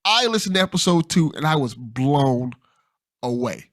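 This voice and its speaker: A man hosting a podcast, chatting casually and excitedly with an interview guest, often repeating phrases as he goes. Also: medium pitch, moderate pace. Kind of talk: casual enthusiastic chat.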